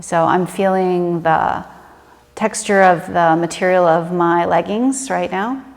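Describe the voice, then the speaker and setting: A woman leading a guided meditation in a gentle, unhurried and conversational manner. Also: fairly low pitch, gravelly. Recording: reverberant room with long echo.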